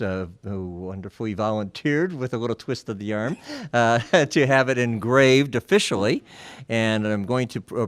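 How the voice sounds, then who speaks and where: A man speaking haltingly and informally as he presents an award at a club meeting. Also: lowish voice, clear, resonant tone, no echo. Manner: halting delivery.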